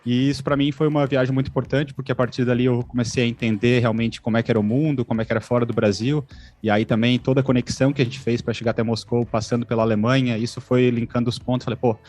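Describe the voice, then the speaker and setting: A man's voice: mid-range voice, little pitch movement, fast talker, darkish tone. A man, telling his travel story casually with lots of "né" and "pô". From Santa Catarina, Brazil. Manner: loose, uses fillers like "pô" and "né". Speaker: interview guest, traveler.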